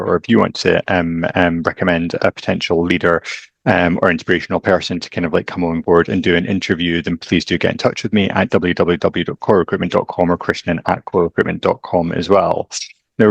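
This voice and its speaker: A man's voice: deep voice, creaky, slightly rough, dry recording. A man hosting an interview series, upbeat and chatty, with many fillers. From Glasgow, Scotland. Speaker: interviewer, host.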